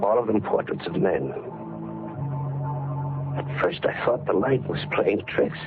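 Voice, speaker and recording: A man's voice: on the low side, expressive, a little slow. An actor playing a haunted, desperate man telling of his descent into madness, in intense 1940s radio mystery style. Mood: haunted narration. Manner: dramatic, brooding, intense. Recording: tinny vintage radio recording with music behind.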